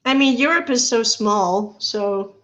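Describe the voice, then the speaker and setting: A woman's voice: a little high, slow pace, a bit bright, low-quality recording. A woman from Italy, a comic artist guest on a casual livestream chat, chatty and giggly, using lots of fillers.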